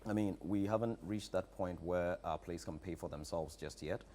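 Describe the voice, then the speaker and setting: A man's voice: deep voice, moderate pace, dark tone, slight background noise. A man with a Ghanaian accent speaking as an impassioned, emphatic guest in a talk show interview. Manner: emphatic, repeats rhetorical questions. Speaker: theatre practitioner, studio guest. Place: TV studio.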